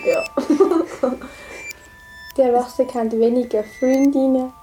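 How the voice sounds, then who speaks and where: A woman's voice: high-pitched, steady tone, takes it slowly, background noise. A young teenage girl, chatting casually and retelling everyday episodes in a group.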